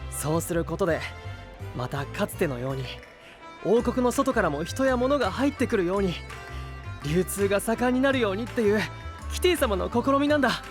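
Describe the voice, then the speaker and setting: A man's voice: sits high, animated, normal speed, music in the background. A man playing an earnest, trusting young knight hero in an anime-style voice drama, speaking with friendly sincerity. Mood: earnest, eager.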